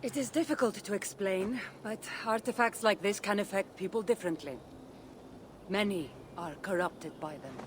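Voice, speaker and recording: An actress playing a confident, guarded female warrior and seasoned artifact hunter in a video game, speaking in a measured, dryly teasing way. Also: mid-range voice, a little hiss or hum, natural voice. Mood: guarded, serious. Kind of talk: acted game cutscene dialogue.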